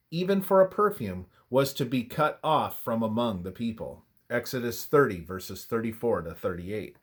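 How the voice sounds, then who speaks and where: Male reader for scripted devotional narration, mid-pitched, measured and reverent delivery. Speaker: reader of a religious study.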